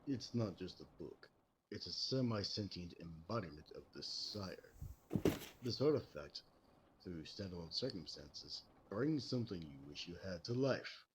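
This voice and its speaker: A low, grave American voice from a man in character as an ageless detective in an audio roleplay. He explains things calmly and formally, in measured phrases, over audible background noise. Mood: grave, explanatory. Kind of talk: scripted roleplay audio drama.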